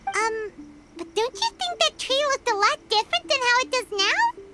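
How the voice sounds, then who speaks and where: A woman voicing a tiny, excitable, childlike fairy girl in a video game, squeaky, blunt and eager. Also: moderate pace, heavy background noise. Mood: skeptical.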